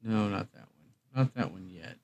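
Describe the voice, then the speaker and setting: A man's voice: fairly low pitch, moderate pace, creaky, darkish tone, highs cut off. A man hosting a livestream, chatting casually and talking straight to his listeners in an emphatic, rhetorical way. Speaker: livestream host sharing music. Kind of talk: casual opinionated livestream chat.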